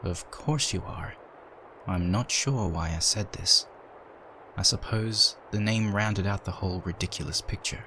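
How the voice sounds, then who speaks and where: A man reading a dark story aloud in a measured, dramatic narration. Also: fairly low pitch, a little hiss or hum.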